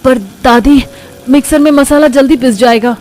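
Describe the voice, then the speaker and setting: A woman's voice: high voice, fairly even intonation, normal speed, darkish tone, close to an everyday voice. A woman voicing an exasperated, dutiful young daughter-in-law in a cartoon story. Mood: protesting. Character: harried, grumbling young daughter-in-law cook. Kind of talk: acted moral-story cartoon.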